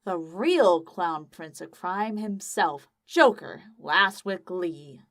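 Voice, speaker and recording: A woman's voice: on the low side, animated, slow pace. A woman narrating a scripted audio drama as storyteller.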